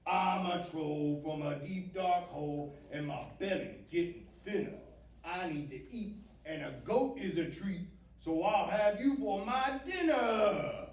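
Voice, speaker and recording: Man as a loud, cranky, hungry troll. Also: high-pitched, huge pitch swings, drawn-out words, creaky, pitched above the performer's own voice, exaggerated voice. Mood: angry, hungry. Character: big hairy cranky hungry dim-witted troll. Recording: roomy, distant mic with faint noise.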